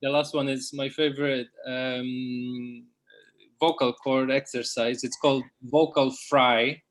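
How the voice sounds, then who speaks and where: A man's voice: mid-range voice, flat delivery, takes it slowly, reverberant. A man leading a group workshop, giving casual instructions in a loose, informal way, as if thinking aloud. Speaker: workshop facilitator. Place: indoors, on a group video call.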